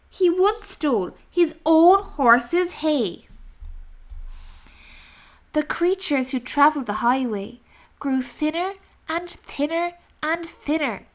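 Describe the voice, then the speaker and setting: A woman narrating a children's story aloud with expressive, deliberate delivery. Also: high-pitched.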